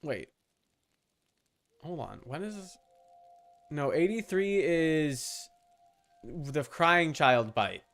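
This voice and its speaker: A young man talks casually while livestreaming a game. His voice is smooth and resonant with a fry at its edges. He rambles and halts, often repeating a word before going on, with faint music behind him. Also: a little high, expressive, a bit bright. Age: young adult. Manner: rambling, halting, repeats words. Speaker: game streamer.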